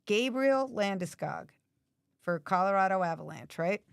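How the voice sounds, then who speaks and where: Raspy, gravelly woman chatting. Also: mid-range voice, animated, unhurried, dry recording, clean studio sound. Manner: chatty, asks many questions. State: hoarse from allergies. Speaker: livestream host. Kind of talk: casual chat watching hockey.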